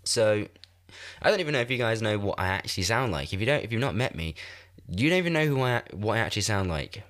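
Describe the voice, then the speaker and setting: A man's voice: fairly low pitch, lively intonation, fast talker, a bit bright. Stuffy-nosed young man rambling. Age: 20s. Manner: self-mocking, swearing, laughing often. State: congested, stuffy nose. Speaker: podcast host. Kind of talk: rambling solo podcast chat. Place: echoey room in a shared house. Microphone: desk microphone.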